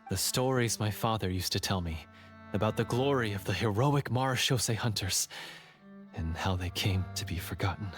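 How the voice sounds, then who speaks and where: A man's voice: fairly low pitch, normal speed, natural voice. A man playing a remorseful, self-doubting young swordsman in a fantasy game, earnest and hesitant as he speaks. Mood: rueful. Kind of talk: scripted fantasy game dialogue. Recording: music playing under the voice.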